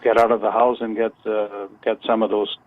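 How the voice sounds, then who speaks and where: An older man calling in to a radio show on a phone line, chatting casually and haltingly, repeating words as he goes. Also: lowish voice, little pitch movement, dry recording. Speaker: phone-in guest, retired contractor. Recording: muffled narrowband phone line.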